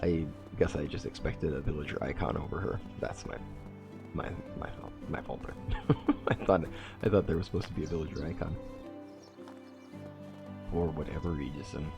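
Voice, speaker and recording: A man's voice: extremely low pitch, fairly even intonation, moderate pace, gravelly, pauses often, music in the background. A man with a stuffy nose from a cold, hosting a casual game playthrough and thinking aloud as he reworks his plans. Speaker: let's play host. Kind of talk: casual gameplay commentary.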